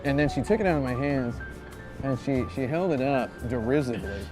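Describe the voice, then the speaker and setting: A man in his thirties from Indiana telling a self-mocking anecdote in a rambling, animated way, as a video game reviewer. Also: medium pitch, fairly quick, low-quality recording. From Indiana, USA. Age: 30s. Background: music.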